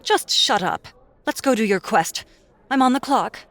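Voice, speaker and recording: A man's voice: extremely high pitch, expressive, a little slow, bright, forceful tone, voice pushed up, heavily acted. Brash, money-hungry young woman, played by a male narrator; pushy and demanding. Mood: flustered.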